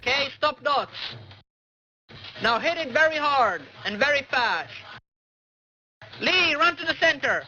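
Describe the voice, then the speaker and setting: Very high-pitched, angry male raid leader. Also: lively intonation, unhurried, pauses often, bright, forceful tone, a little hiss or hum. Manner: angry, profane, commanding, repetitive. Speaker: online game raid leader. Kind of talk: barking orders during a game. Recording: dull lo-fi old voice chat.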